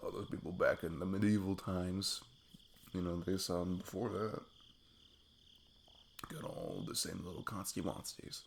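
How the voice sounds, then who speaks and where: A man's voice: sits low, expressive, unhurried, vocal fry, pauses often, a little hiss or hum, full character voice. A man playing a sweet, goofy best friend on a date in an ASMR roleplay, rambling playfully and poking fun at himself. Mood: musing. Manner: rambling, playful, self-deprecating. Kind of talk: intimate ASMR roleplay, improvised casual chat.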